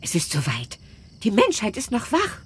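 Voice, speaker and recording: A woman's voice: mid-range voice, wildly swooping pitch, moderate pace, a little hiss or hum. An actress playing a theatrical grandmother who declaims rhymes and slogans in a German radio play. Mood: grand, jovial.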